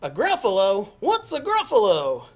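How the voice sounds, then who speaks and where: Woman putting on a high, sly storybook fox. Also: wildly swooping pitch, unhurried, a little hiss or hum. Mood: curious. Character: sly hungry fox, falsely friendly, cowardly. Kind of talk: animated picture-book character reading.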